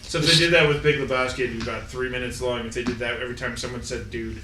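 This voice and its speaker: A man chatting casually on a podcast, telling a story with hesitant pauses. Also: medium pitch, steady tone, roomy sound, recorded from a distance, noisy background. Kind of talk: casual chat.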